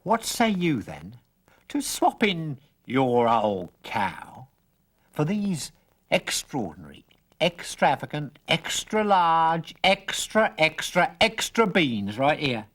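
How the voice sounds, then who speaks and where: Narrator putting on a sly old peddler man, husky, swoopy and slow, stretching every word to sell you something, storybook. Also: medium pitch, sing-song, fairly bright tone, pitched above the performer's own voice, heavily acted. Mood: wheedling. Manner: wordy, drawn-out, showy persuasion. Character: very old odd stranger man, sly, wheedling salesman.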